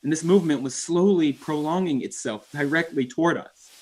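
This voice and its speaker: A man's voice: on the high side, fairly quick, a little mellow, close to an everyday voice, rough recording. A man playing a hunter who narrates an eerie encounter in formal, dramatic old time radio style. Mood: uneasy wonder. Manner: formal, literary storytelling with dramatic emphasis. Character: male hunter recounting an eerie encounter, rattled but articulate.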